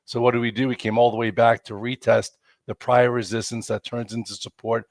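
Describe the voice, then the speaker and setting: A man's voice: medium pitch, flat delivery, brisk, dry recording. A man, congested and losing his voice, hosting a chatty, rambling live stock market show with lots of fillers. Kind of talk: casual live market commentary.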